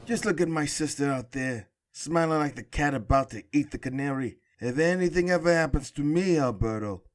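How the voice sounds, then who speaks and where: A man's voice: on the high side, moderate pace, natural voice. A man playing an older mob boss father, fond but patronizing, in a comic book audio drama.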